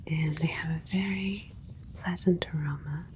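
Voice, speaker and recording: A woman playing a gentle alien scientist in an ASMR medical roleplay, whispering in a breathy, soothing, politely clinical way. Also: low-pitched, steady tone, a little slow, slight background noise. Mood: attentive, clinical.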